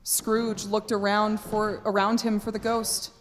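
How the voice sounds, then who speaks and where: A woman narrating a radio play as a scripted story, with dramatic, deliberate phrasing. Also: medium pitch, flat delivery, a little hiss or hum. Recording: reverberant hall.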